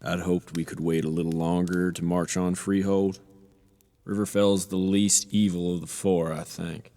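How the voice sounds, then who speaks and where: A low-voiced male warlord addresses his officers with cool assurance. His delivery is measured and matter-of-fact, calculating, ringing through a long stone-hall reverb, as in a fantasy audio drama. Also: level tone, mellow. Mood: coolly assured. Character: calm, calculating male warlord general commanding orcs.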